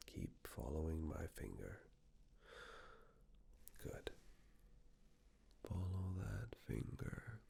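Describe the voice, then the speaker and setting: A man speaking in a breathy, husky whisper and soft low voice, giving soothing, measured instructions in a medical exam ASMR roleplay. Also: sing-song.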